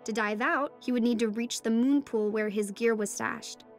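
A teenage girl, played by a woman, tells her story as narrator of an audio fiction. Her voice sits fairly high and carries a scripted storytelling style. Music plays clearly behind her. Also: moderate pace, lightly acted. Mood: narrative, suspenseful. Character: teenage daughter recounting her ocean adventure.

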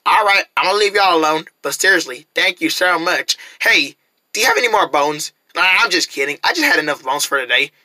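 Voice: medium pitch, animated, brisk, pushed and bright, no echo. A performer voicing a polite, effusively thankful potato baby creature in a cartoon, with a folksy cowboy drawl. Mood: grateful. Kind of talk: cartoon voice acting.

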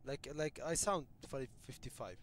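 A man's voice: medium pitch, expressive, moderate pace, slight background noise. A man trolling other players in a virtual reality game voice chat, bossy and teasing, talking through a headset mic. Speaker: player and channel host.

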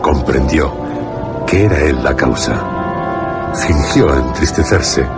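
Super deep guy narrating horror radio, grave and solemn, loud music under him. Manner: grave, measured.

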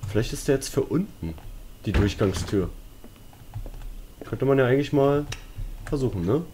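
A man's voice: mid-range voice, expressive, moderate pace, background noise. A man giving casual, colloquial, joking commentary as he plays a horror game, talking to himself and his viewers. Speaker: let's player.

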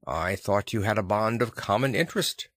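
A man voicing a worried older gentleman lawyer in an audiobook, speaking formally and deliberately. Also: on the low side, no echo, clean studio sound. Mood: probing, polite.

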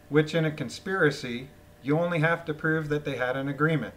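Man, somewhat high-pitched, phlegmy, throat-clearing, mocking, casual read-aloud commentary. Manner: incredulous, mocking. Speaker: host reading a transcript aloud with commentary. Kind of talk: casual running commentary while reading a document aloud. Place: voice-over of a screen recording.

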